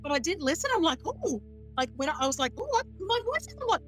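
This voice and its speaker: A woman's voice: very high voice, sing-song, fast talker, fairly bright tone, faint music behind. A chatty, bubbly woman, a guest astrologer on a podcast, who laughs often. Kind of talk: casual podcast chat.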